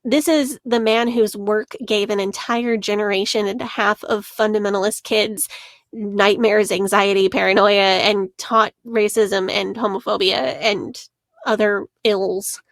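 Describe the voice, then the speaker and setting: A woman's voice: mid-range voice, fairly even intonation, a little slow, no echo. A woman co-hosting a podcast, talking through her research in an earnest, analytical way and laughing now and then. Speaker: podcast co-host. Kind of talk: casual podcast discussion.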